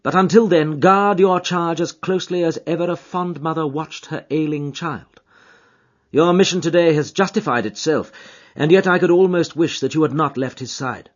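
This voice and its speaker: A man's voice: a little high, normal speed, highs cut off, voice pushed up, close to an everyday voice. A man voicing a keen, commanding detective who speaks in formal Victorian phrases, emphatic and urgent, in an audiobook. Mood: grave, insistent. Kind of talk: dramatised audiobook character dialogue.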